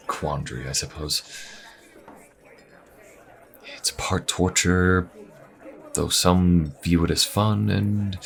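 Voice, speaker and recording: A wry, weary inquisitor adventurer, acted by a man with an extremely low voice. He talks casually and dryly, musing with hesitant pauses, as if chatting to one listener in a fantasy audio roleplay. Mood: curious, wry.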